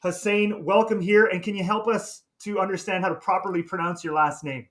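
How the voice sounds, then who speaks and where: A man's voice: sits high, fairly even intonation, brisk, a crowd in the background. A man hosting a casual interview podcast, chatty and eager, with frequent "uh"s and restarts. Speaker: podcast host.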